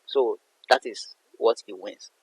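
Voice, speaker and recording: A man's voice: on the low side, slow pace, breathy edge, low-quality recording. A man explaining a poem as a literature teacher, in a didactic way that repeats and rephrases points. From Nigeria. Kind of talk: explanatory poetry analysis lesson.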